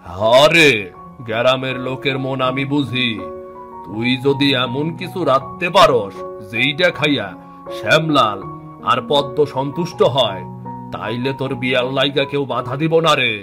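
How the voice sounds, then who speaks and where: A man's voice: mid-range voice, measured pace, over music, lightly acted. A man playing a shrewd, teasing village uncle in a cartoon, giving folksy advice in an eastern Bengali accent. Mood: knowing advice. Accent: eastern Bengali (Bangladeshi). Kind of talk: dramatic cartoon voice acting.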